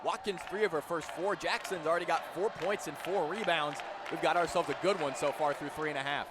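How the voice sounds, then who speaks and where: A man's voice: on the high side, a little fast, a crowd in the background. A man giving conversational, analytical color commentary on a live basketball radio broadcast. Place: indoor basketball arena during a game. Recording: clear arena noise behind voice. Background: game ambience, ball bounces.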